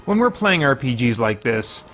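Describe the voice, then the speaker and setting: A man's voice: mid-range voice, very animated, normal speed, fairly bright tone, slight background noise. A man hosting a casual let's play, thinking aloud and rambling as he goes. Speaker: gaming video host.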